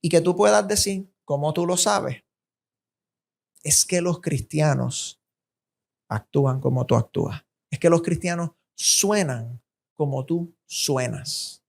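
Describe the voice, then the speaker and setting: A man's voice: fairly high pitch, slow pace, vocal fry, a little mellow. A man from Puerto Rico with a Puerto Rican accent, preaching a sermon with emphatic, rhythmic repetition that rises on key points. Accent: Puerto Rican Spanish.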